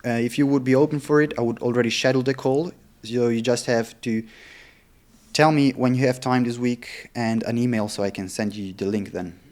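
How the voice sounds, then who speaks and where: A man's voice: mid-range voice, steady tone, a little fast, darkish tone. A man who speaks English as a non-native language, with Italian or German as his stronger tongue, talking casually and candidly as a vlogger. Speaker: vlogger practicing sales cold calls. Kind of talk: casual vlog talk.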